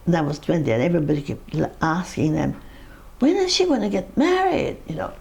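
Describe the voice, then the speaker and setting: A woman's voice: lowish voice, huge pitch swings, brisk, vocal fry, a little mellow. An older woman with a Norwegian accent, recalling her life in a personal interview, rambling through her story with laughs and restarts. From Norway.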